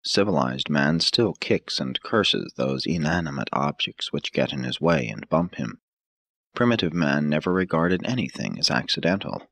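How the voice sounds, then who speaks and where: A man narrating an audiobook from a script in a measured, formal, solemn manner. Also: very deep voice, expressive, vocal fry, fairly bright tone, no echo.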